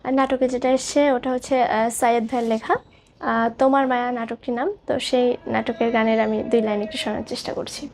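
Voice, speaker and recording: A woman's voice: a little high, level tone, fast, slight background noise. A woman, a singer-songwriter earnestly explaining herself in interview answers. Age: 20s.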